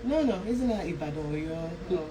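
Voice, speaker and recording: A woman's voice: fairly low pitch, fairly quick. A woman with a Nigerian accent, an author chatting as a guest in a radio interview, talking freely and laughing often. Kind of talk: casual radio interview chat. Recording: distant mic with steady background noise.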